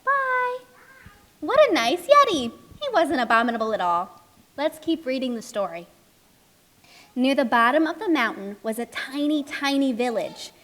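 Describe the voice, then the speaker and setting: A woman's voice: high-pitched, sing-song, normal speed, fairly bright tone. A woman playing a friendly, curious narrator in a children's puppet show, telling the story expressively and chatting with characters.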